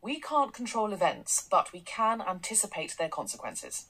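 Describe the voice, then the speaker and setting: A woman's voice: medium pitch, sing-song, moderate pace, bright, forceful tone, husky, exaggerated voice, rough recording. An actress playing a confident, assertive woman tech entrepreneur, in a radio drama. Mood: assertive, slick. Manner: slick, assertive, salesy.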